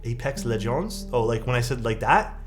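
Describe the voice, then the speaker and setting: A young man, chatting casually as a livestream host, excitable and rambling with lots of "like" and "dude". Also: mid-range voice, normal speed. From Canada. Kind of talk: casual livestream chat, reading chat messages. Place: his room at home. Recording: speech over background music. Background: background music.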